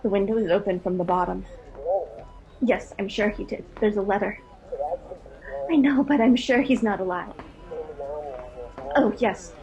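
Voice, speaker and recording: A woman's voice: medium pitch, a little slow, long pauses, dark tone, dull audio, soft background music, lightly acted. A woman playing a dutiful hospital nurse in a radio drama, urgent and businesslike. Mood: alarmed.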